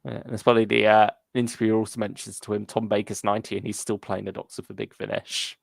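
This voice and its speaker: A man with a fairly low voice and heavy vocal fry co-hosts a casual fan discussion. He speaks in a hesitant, hedging way, recorded close and dry. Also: little pitch movement, fast, no room sound. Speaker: podcast co-host. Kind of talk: casual fan discussion, reading listener comments.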